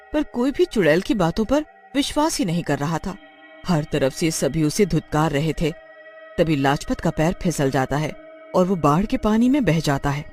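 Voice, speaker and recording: A story narrator reads with lively, swinging intonation. The delivery is scripted and dramatic, at a medium pitch. Faint music plays behind it.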